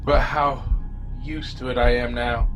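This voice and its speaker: A man playing a wounded, frightened survivor, telling his story in a dramatic, halting first-person horror audio drama delivery. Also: medium pitch, little pitch movement, music in the background, voice pushed down, natural voice, poor audio. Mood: weary, fading. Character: terrified wounded male survivor of a zombie horde.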